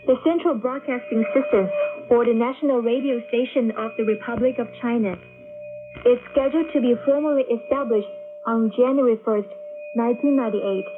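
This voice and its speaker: A woman's voice: a little high, fairly quick. A woman working as a radio host, announcing and chatting with a co-host. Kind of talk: radio announcing and co-hosted chat. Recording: tinny shortwave radio recording with music.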